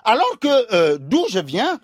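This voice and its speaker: A man's voice: sits high, unhurried, no room sound, studio quality. An older man from Cameroon, telling a comic tale as a guest storyteller in a theatrical way and calling out to the host. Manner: theatrical, animated, addresses the host directly.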